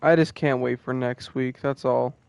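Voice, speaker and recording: A man's voice: medium pitch, fairly even intonation, normal speed, creaky, a little mellow, clear, resonant tone, no room sound. A twenty-year-old man streaming a game, talking casually and cursing often. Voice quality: profane, laid-back. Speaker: gaming streamer. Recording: dull, dry, lo-fi mic sound.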